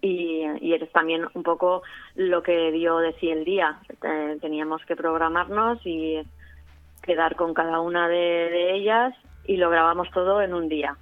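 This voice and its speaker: Crisp woman on a phone-like line. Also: lowish voice, steady tone, a little slow, dry recording. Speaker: radio documentary producer. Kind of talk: interview talk.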